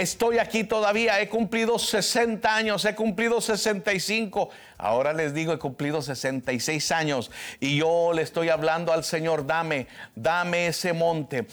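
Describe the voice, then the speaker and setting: Pastor in his 60s, high voice, goes soft then loud when he preaches. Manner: fervent preaching, swings from soft to loud emphatic. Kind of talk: televised sermon.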